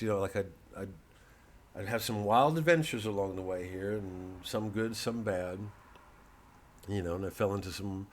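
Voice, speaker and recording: A man giving a rambling, digressive comedian's monologue, halting and sardonic, peppered with casual filler phrases. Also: low-pitched, slight background noise. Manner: sardonic, mocking, profane when worked up. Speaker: comedian promoting his show.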